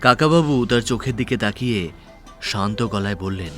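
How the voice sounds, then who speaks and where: A male narrator with a fairly low voice tells a detective story. His delivery is scripted and dramatic. Soft music plays behind him. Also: animated, normal speed.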